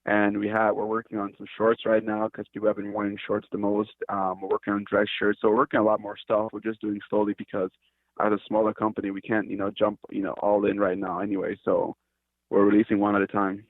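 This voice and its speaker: A man from Cameroon speaks in a low, fairly monotone voice, stopping and restarting as he searches for words. He comes through a thin, tinny phone line with a dry, close sound. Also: fast, dry recording. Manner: hesitant, restarts often, earnest. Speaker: company founder, guest. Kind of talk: casual interview talk.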